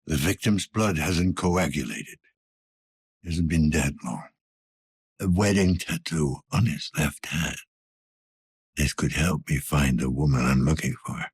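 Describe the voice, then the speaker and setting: Husky, deep-voiced weary detective. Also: male voice, takes it slowly, long pauses, no room sound, clean studio sound, exaggerated voice. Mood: grim, clinical. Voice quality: husky, gravelly. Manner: terse, world-weary, low-key delivery. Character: weary, gruff older male cyberpunk police detective. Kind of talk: video game voice acting.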